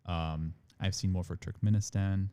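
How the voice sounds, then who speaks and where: Low, mellow-voiced man rambling casually. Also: fairly even intonation, moderate pace. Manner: rambling, many "like" and "again" fillers. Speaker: international admissions manager, guest. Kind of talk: conversational podcast interview.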